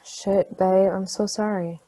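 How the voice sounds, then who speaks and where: A woman's voice: medium pitch, level tone, slow pace. A woman acting an affectionate, brainy, playful partner in a casual, conversational table-read style. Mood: sympathetic. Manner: casual, conversational, gently teasing.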